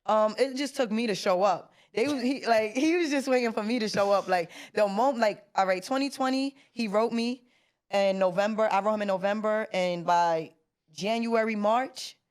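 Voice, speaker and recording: A woman's voice: medium pitch, normal speed. A woman in her late twenties, a rapper guest in an interview, talking casually in street slang and often checking that she is understood. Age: 20s. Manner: laid-back street slang, keeps asking "you get what I'm trying to say".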